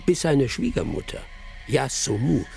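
A man playing a wily, unhurried village storyteller in a radio drama, speaking in a deliberate, teasing way. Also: mid-range voice, sing-song, gravelly, darkish tone, soft background music, exaggerated voice. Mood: absorbed storytelling. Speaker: actor in a radio play.

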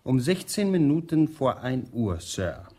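An actor playing a stiff, ultra-polite butler in a radio play, with a breathy voice and precise wording. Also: male voice, medium pitch, big pitch swings, measured pace, dark tone. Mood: formal. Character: stiff, formal male butler.

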